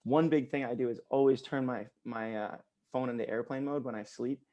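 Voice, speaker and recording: A man's voice: mid-range voice, steady tone, brisk, dark tone, highs cut off. A man in his twenties, a guest on a podcast, chatting casually with frequent laughs and lots of "like" fillers. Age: 20s. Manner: laughs often, many "like" fillers. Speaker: podcast guest, pro paintball player.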